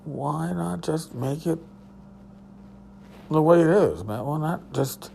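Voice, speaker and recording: A tired man with a hoarse voice, hosting a casual solo podcast from a hotel room and rambling loosely with a bit of swearing. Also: on the high side, darkish tone, slight background noise. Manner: rambling, profane, restarts. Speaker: podcast host.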